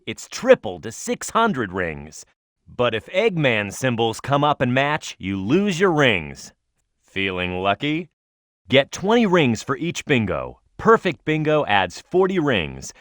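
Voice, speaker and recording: A man's voice: mid-range voice, a bit bright, dry recording, studio quality. A man voicing a tough, hot-headed young echidna hero in a video game, speaking emphatically and with cocky confidence. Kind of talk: video game character voice lines, tutorial hints and battle shouts.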